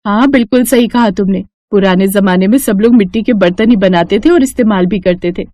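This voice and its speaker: A woman's voice: mid-range voice, a little fast, mellow, dry recording, clean studio sound, natural voice. A woman voicing a friendly, chatty young female friend in a moral story. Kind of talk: dramatized Hindi moral story.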